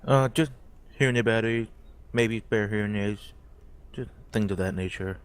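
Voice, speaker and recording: Male player, low voice, casual game chat, slightly tentative and self-explaining. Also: moderate pace, smooth. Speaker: role-play game player.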